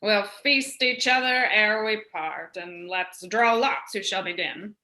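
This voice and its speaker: A woman's voice: medium pitch, a little slow, bright, forceful tone, reverberant. A woman playing a proud rebel space captain with stately, declamatory delivery in a Shakespearean radio play. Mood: hospitable.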